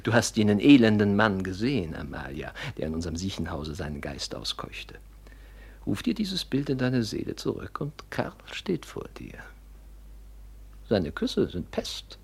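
Husky scheming nobleman, wildly swooping voice. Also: lowish voice, big pitch swings, moderate pace, heavily acted. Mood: insinuating, cruel. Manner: theatrical, insinuating, swinging from hushed to exclaiming. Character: scheming younger nobleman, honey-tongued flatterer feigning grief. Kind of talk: classic German stage drama radio acting.

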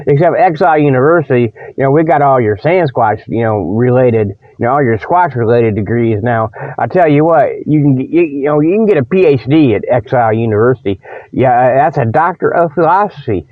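A man hosting a comedy show with a folksy, rambling monologue of tongue-in-cheek sales pitches, peppered with verbal tags. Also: no room sound. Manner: folksy, repeats "you know" and "okay" as tags; chuckles at own jokes. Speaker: host of a comedy radio show. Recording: dull, dry radio recording.

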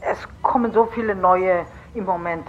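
A woman's voice: on the low side, moderate pace, narrow, tinny audio, slight background noise, natural voice. An actress playing a worried, reserved middle-aged mother in a German radio crime drama, speaking in terse, weary phrases. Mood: weary, guarded.

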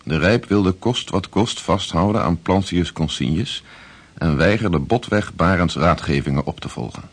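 An actor playing a grave chronicler, formally narrating a sea voyage log in a radio drama with a husky, gravelly voice. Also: male voice, deep voice, lively intonation, unhurried. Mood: measured. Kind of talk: scripted narration, formal historical prose.

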